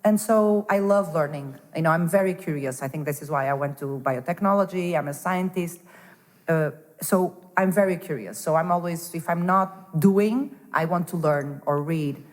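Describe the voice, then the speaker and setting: A woman's voice: deep voice, normal speed. Woman in a reverberant hall. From Portugal. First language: Portuguese. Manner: animated, restarts often, says "you know" a lot. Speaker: guest, angel investor. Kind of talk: casual interview chat. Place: startup hub space.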